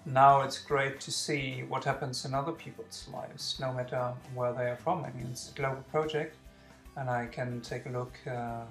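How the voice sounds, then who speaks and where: A man's voice: medium pitch, flat delivery, normal speed, gravelly, a bit bright, soft background music. A man, answering interview questions hesitantly and searching for words. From Germany. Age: 40s. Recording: echoey room.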